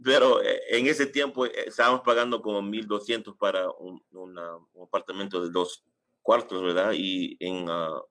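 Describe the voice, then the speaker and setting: Deep-voiced man, halting storyteller. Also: fairly even intonation, a bit bright. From United States, New York. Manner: halting, sprinkles English filler phrases into Spanish. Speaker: imam, interview guest. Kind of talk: casual personal storytelling in an interview.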